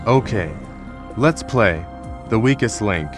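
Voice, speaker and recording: Low-voiced male quiz host over music. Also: expressive, slow. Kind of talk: scripted game show presenting.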